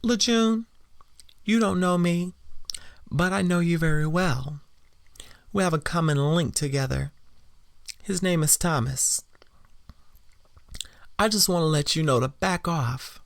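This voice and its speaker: A man voicing a territorial wife who confronts her husband's mistress in a deliberate, threatening manner. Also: on the high side, gravelly, no echo, clean studio sound. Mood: menacing.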